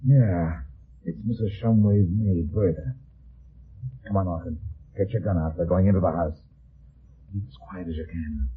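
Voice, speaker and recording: A rough, husky-voiced private detective from an old radio serial. He gives orders in a clipped, wry, hard-boiled way. The recording is tinny and narrow, like a vintage 1950s broadcast. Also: low-pitched, moderate pace, long pauses. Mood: quiet, commanding.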